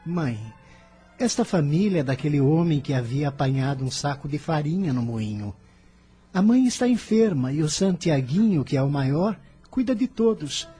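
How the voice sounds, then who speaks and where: Man as a gentle youth, mellow and expressive. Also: on the high side, normal speed, slightly rough, faint music behind. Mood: gentle, earnest. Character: compassionate, dutiful young son, gentle and sorrowful. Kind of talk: radio drama acting.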